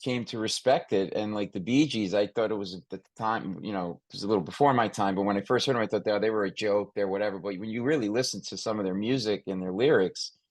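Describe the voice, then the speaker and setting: A man's voice: fairly low pitch, fast talker, hardly any pauses, no room sound. A man hosting an interview in a casual, conversational way, rambling and thinking aloud as he goes. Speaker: podcast host interviewing a guest.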